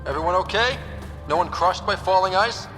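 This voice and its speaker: A man's voice: high-pitched, normal speed, close to an everyday voice. An actor playing a young, earnest and curious male explorer leading a team in a video game. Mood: alert, concerned. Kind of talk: video game dialogue acting. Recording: loud game music and effects behind.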